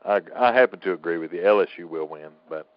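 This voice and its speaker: A man's voice: low voice, fast talker, no room sound. A man hosting a casual talk show, bantering in a teasing, opinionated way. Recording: dull lo-fi audio.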